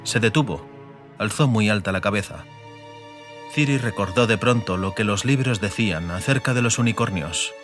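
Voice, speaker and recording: A man with a fairly low voice and an accent from Spain narrates a fantasy audiobook. His delivery is dramatic, scripted storytelling, with music clearly playing behind him. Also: normal speed.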